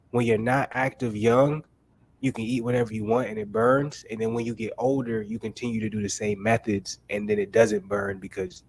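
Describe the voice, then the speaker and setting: A man's voice: mid-range voice, gravelly, breathy edge. A man in his late twenties hosting a casual chat, laid-back and chuckling between remarks. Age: late 20s. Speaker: host.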